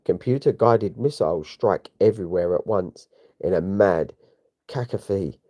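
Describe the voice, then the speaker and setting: A man's voice: deep voice, normal speed, mellow. A man giving a theatrical, grandiose scripted narration of a comic-book story. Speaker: narrator of a comic read-along.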